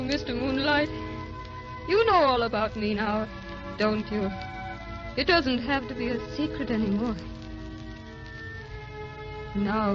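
An actress playing a tender, weary, ageless grandmother who speaks wistfully and repeats her phrases, in old radio drama style. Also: on the high side. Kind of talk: 1930s-40s radio drama acting. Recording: dull old radio recording with music.

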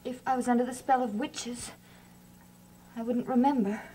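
A woman's voice: on the high side, fairly even intonation, moderate pace, warm tone, slight background noise, lightly acted, rough recording. An actress playing a troubled young lady in a gothic soap opera, with a tremulous, dramatic delivery. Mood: anguished. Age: young adult. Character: troubled, agitated young lady haunted by doubt. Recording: old TV soundtrack with background music.

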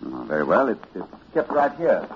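A man's voice: on the low side, huge pitch swings, normal speed, a little hiss or hum. A man acting a learned, hesitant university librarian recounting horror in a cultured, dramatic manner, in 1940s radio suspense style. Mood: guarded, uneasy. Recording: dull old radio recording with music.

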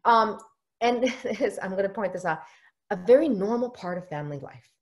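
A woman's voice: medium pitch, lively intonation, normal speed. A middle-aged woman who is a family therapist, giving an online workshop from a home office over a webcam, earnest and explanatory. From United States. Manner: earnest, explanatory, often acts out quoted voices. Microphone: webcam on a video call.

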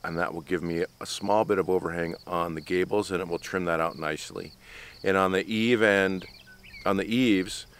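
A man in his fifties talks straight to a close camera on an open site, plainspoken and practical, stopping for ums and restarts. His voice sits very low and comes through smooth and clear. Crickets chirp faintly in the open air. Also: fairly bright tone. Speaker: builder vlogger. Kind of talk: casual explanation to camera. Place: outdoors on an open building site. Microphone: camera close up at face height.

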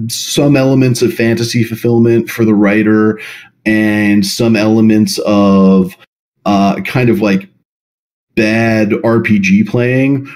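Slow, reflective man in an interview. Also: fairly low pitch, darkish tone. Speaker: podcast guest, role-play writer. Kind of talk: thoughtful interview conversation.

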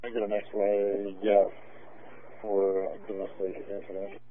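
A man's voice: lowish voice, completely flat delivery, measured pace. A male police officer making brief call-ins over a two-way radio. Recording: tinny, narrow-band police scanner radio.

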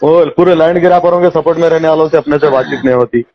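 High-pitched indignant Hyderabadi man. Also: fast talker. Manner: indignant, insistent, repeating rhetorical questions. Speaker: local party politician. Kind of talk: heated phone conversation. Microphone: phone call. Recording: dull lo-fi recorded phone call.

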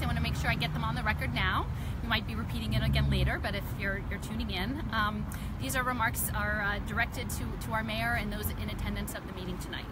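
A middle-aged woman, a parent activist, earnestly reading a prepared statement from notes outdoors on a city street, recorded by a phone camera at arm's length. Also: a little high. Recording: loud street traffic noise. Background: traffic and city noise.

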